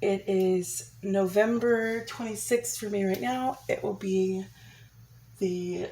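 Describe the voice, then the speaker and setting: A woman's voice: mid-range voice, steady tone, slow, dark tone, slight background noise, poor audio. A young woman vlogger giving a chatty personal update, a bit congested from a cold, with many ums and restarts.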